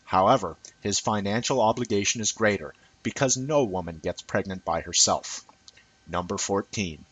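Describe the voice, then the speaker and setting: A man's voice: fairly low pitch, a bit bright, slightly rough, dry recording, rough recording. Dry, close-sounding man reading an essay emphatically. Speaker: reader of an essay. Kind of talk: scripted essay reading, audiobook-like narration.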